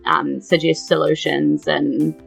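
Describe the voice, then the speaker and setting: Kiwi woman running a tabletop game, lowish creaky voice, bit of music behind. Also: flat delivery, slow. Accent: New Zealand. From New Zealand. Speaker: game master. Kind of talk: casual narration of a tabletop game.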